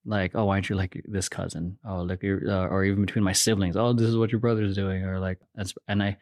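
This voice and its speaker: A man's voice: deep voice, level tone, fast talker, a little mellow, no room sound, studio quality. A man in his thirties from the Philippines, speaking as a podcast guest in a hesitant, reflective way with many restarts. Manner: hesitant, restarts often, thinks aloud. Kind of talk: casual reflective interview.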